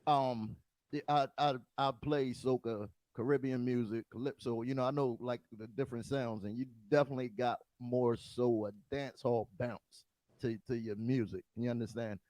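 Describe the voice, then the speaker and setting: A man's voice: medium pitch, steady tone, normal speed, a little mellow, dry recording. An American man who hosts and DJs, telling stories in a casual interview with stumbling restarts. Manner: storytelling, stumbling restarts, laughs often. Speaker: DJ and podcast host.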